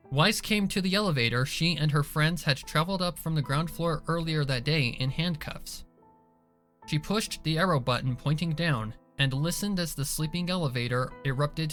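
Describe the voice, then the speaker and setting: Higher-pitched male story narrator over music. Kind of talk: scripted dramatic story narration. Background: soft music behind the voice.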